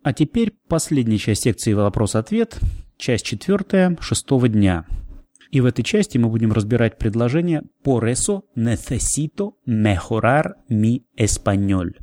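A man's voice: medium pitch, big pitch swings, unhurried, warm tone, dry recording, studio quality. A man narrating a language lesson as the teacher. He explains new words, then repeats and translates them.

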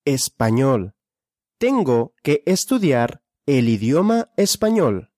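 Super slow drill voice, pitch swings a lot, asks then answers, dry close mic. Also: big pitch swings, darkish tone, no echo. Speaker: lesson drill speaker.

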